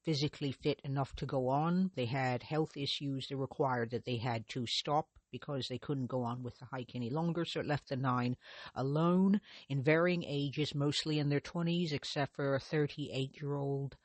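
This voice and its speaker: A man's voice: mid-range voice, fairly even intonation, normal speed, no echo. A man hosting his own podcast, narrating a mystery in a conversational way with frequent restarts and hesitations. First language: not Russian. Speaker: podcast host.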